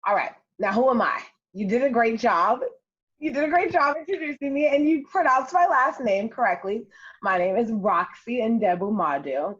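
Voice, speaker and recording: A woman's voice: fairly high pitch, expressive, normal speed, husky, dull audio. An American woman with Igbo as her first language, an enthusiastic guest telling her story in a casual interview. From United States, Maryland.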